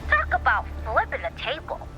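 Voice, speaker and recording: Quirky young female forecaster for a game: high voice, slangy, playful, music underneath. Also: normal speed, heavily acted, rough recording. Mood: musing. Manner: slangy, playful, animated explaining. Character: quirky, breezy young woman reading energy forecasts. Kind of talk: video game character acting. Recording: music behind the voice.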